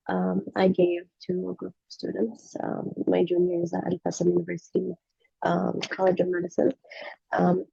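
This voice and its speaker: A young woman in her twenties talks through a presentation in a casual, frank way, poking fun at herself. Her voice is deep in vocal fry and mellow, a little husky from the tail end of a flu. The recording is dry and dull. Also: no room sound. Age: 20s. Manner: conversational, frank, self-deprecating. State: recovering from flu, voice slightly off. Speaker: medical student presenting a talk.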